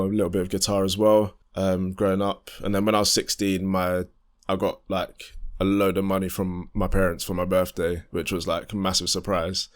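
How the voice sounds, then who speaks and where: A man in his twenties with a London accent and a deep voice, talking in a laid-back, modest and rambling way as a guest musician on a chat show. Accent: London English. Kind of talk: casual chat.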